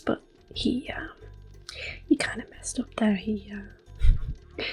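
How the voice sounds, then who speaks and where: A woman speaks in a gentle whisper, slowly and without hurry. She hosts an ASMR gameplay session, with quiet music and controller clicks behind her. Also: mid-range voice. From Europe. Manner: gentle, unhurried, mostly whispering. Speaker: host of a gameplay series. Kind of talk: soft-spoken, whispered ASMR game commentary. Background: quiet game music and controller clicks.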